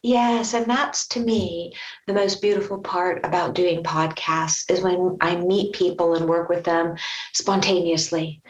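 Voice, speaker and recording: A woman's voice: fairly low pitch, steady tone, a little slow. Enthusiastic woman podcast host, echoey room. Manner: warm, enthusiastic introductions. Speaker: podcast host, clinical psychologist. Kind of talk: podcast interview.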